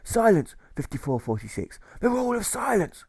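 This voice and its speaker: A man voicing a gruff, volatile old sailor turned jailer in an audiobook, with a stage pirate accent and blustering, exclamatory delivery. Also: high voice, very animated, normal speed, darkish tone, no room sound, studio quality, voice pushed up, exaggerated voice. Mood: barking.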